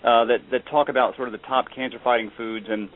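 A man's voice: medium pitch, little pitch movement, fast talker. A young adult man, a guest chef, chatting casually and joking, with lots of fillers. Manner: easygoing, joking, many fillers. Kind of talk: casual phone interview. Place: Atlanta. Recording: tinny phone line, faint hiss.

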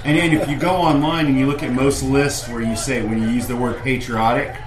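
A man's voice: mid-range voice, steady tone, fairly quick, crowd noise. A man chatting casually with friends on a wrestling fan show. He rambles, starts sentences over and explains things at length. His voice sits in the middle range and bounces around a roomy space. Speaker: podcast co-host. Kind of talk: casual chat among fans.

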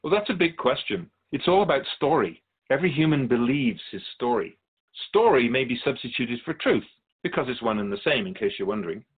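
A man's voice: medium pitch, very animated, slightly rough. A man as a knowing otherworldly spirit guide, phone-like sound. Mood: calm, explanatory. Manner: measured, knowing, didactic. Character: calm otherworldly spiritual being explaining humanity to humans. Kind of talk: radio drama interview.

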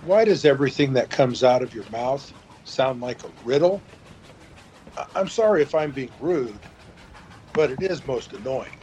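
A man's voice: medium pitch, animated, moderate pace, darkish tone, lightly acted. A man acts a prickly train passenger in a fantasy radio play. His mid-range voice is exasperated yet formally civil. There is faint noise behind him. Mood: annoyed. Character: irritable, apologetic male train passenger, prickly but civil. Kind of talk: audio fantasy drama acting.

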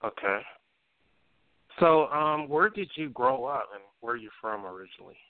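A man hosting an internet radio show, asking a guest questions in a relaxed, conversational way. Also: mid-range voice, moderate pace, no room sound. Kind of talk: casual talk radio interview. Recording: dry, tinny phone-like sound.

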